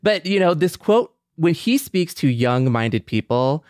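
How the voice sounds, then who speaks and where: A middle-aged man co-hosting a podcast, speaking in a measured casual way with many affirming murmurs. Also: on the high side, very animated, a little mellow, dry recording, studio quality. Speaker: podcast co-host.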